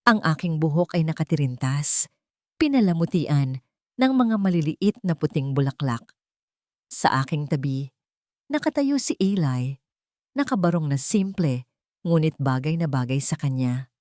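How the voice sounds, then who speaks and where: A woman's voice: sits low, big pitch swings, measured pace, pauses often, darkish tone, dry recording, clean studio sound. A woman reading a scripted first-person romance story as a dramatic narrator.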